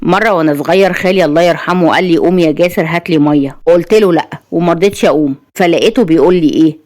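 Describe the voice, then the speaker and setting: A woman voices a boyish, affectionate young Egyptian man in an audio romance. He talks quickly, in a playful and faintly sulky way. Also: lowish voice, a little mellow, natural voice. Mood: fond, amusing. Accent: Egyptian Arabic.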